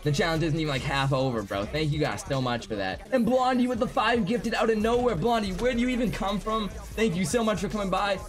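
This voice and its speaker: A young man in his twenties live-streaming a game from his home setup, chatting excitably with viewers, swearing freely and going hoarse. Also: high voice, brisk, few pauses, faint music behind. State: losing his voice. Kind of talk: live gaming stream chat. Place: home gaming room.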